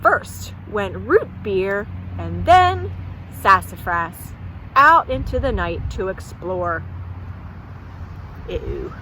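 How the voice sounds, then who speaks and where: A woman telling a folktale at a children's storytime, pausing to ask young listeners questions. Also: medium pitch, sing-song, sharp tone, slight background noise, low-quality recording.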